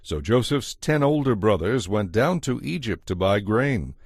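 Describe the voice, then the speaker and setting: Low-pitched male Bible narrator, dry sound. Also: sing-song, a little slow, husky, no echo.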